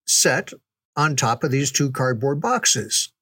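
An older man with a mid-pitched voice tells a story in a casual, folksy manner. He takes his time and pauses to stress details. The recording is dry, with very little room sound. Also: big pitch swings, pushed and bright, slightly rough, no room sound. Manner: unhurried, folksy, halting with pauses for emphasis, chuckling. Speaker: podcast storyteller, reminiscing father.

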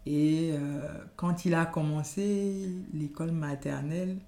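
Thoughtful woman with a mellow voice. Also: deep voice, slow pace. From Benin. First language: Yoruba. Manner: hesitant, many fillers and restarts, thoughtful. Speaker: podcast guest. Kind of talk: conversational interview. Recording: echoey room.

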